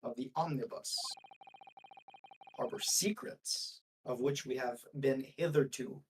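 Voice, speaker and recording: A man's voice: mid-range voice, unhurried, close to an everyday voice. A man voicing a pompous, indignant judge in a Victorian courtroom, speaking formally in a video game fan dub. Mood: stern, puzzled. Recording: distant mic with faint noise.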